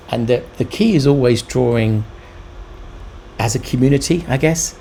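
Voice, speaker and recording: A middle-aged or older man giving a casual, reflective commentary as an artist, thoughtful and halting but enthusiastic. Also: fairly low pitch, gravelly, mellow, slight background noise. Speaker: urban sketching vlogger and artist.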